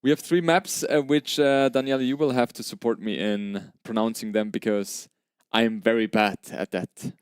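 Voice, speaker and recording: Clear, conversational male esports commentator. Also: mid-range voice, fairly even intonation, normal speed, no echo, studio quality. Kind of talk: live tournament commentary.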